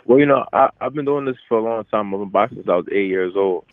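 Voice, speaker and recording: Low-voiced young man on a phone line. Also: no echo. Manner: halting, repeats starts of phrases. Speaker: boxer answering reporters. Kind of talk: casual media interview answers. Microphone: telephone. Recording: narrow, tinny phone line.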